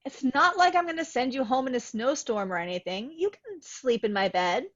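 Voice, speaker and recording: A woman acting a guarded young love interest in a film script read. Her voice sits fairly high, with dry, understated cool. The recording is slightly dull and lo-fi. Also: animated, moderate pace. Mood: cool, frank. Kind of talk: screenplay table read.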